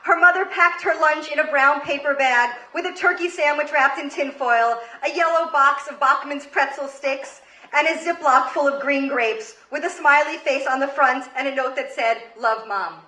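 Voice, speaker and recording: High, bright-voiced woman telling stories on stage. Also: moderate pace. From Queens, New York, United States. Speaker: comedy show host and storyteller. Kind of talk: live comedic storytelling read from a script. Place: live comedy stage before an audience. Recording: roomy live stage recording, faint noise. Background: audience ambience and faint noise.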